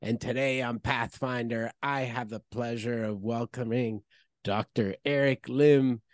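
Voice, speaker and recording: A man's voice: medium pitch, fairly even intonation, measured pace, dry recording, clean studio sound. A middle-aged man hosting an interview over a video call, reading formal, enthusiastic introductions into a headset mic. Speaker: interview host. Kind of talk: scripted intro then interview questions. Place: office with branded backdrop. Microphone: headset with boom mic on a video call.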